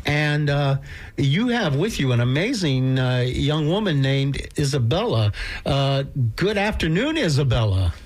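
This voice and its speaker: A man hosting a community radio show, who interviews his guests with enthusiasm, makes puns and speaks warmly. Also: fairly high pitch, animated, a little slow. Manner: warm, punning, enthusiastic interviewer.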